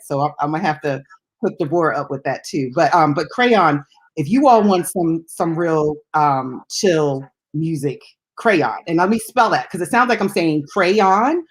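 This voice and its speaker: Lower-pitched woman chatting casually about music. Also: lively intonation, moderate pace. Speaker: radio host and co-host of a music talk show. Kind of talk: casual, unscripted chat.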